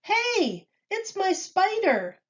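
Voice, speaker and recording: A woman voicing an excited, eager little boy in a children's storybook. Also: very high-pitched, drawn-out words, muffled recording, voice pushed up, heavily acted. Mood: thrilled. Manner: exclaiming, eager.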